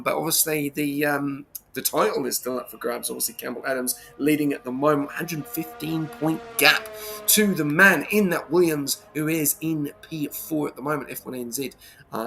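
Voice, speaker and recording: A man from New Zealand commentating a live sim race, his voice croaky from being unwell, getting excited during on-board laps. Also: medium pitch, slight background noise.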